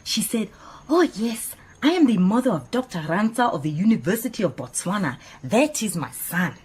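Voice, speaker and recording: Animated, opinionated woman assistant. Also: medium pitch, normal speed, breathy edge. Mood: proud, eager. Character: earnest, opinionated female detective's assistant, proud and chatty. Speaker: actor in radio drama. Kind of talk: radio drama acting.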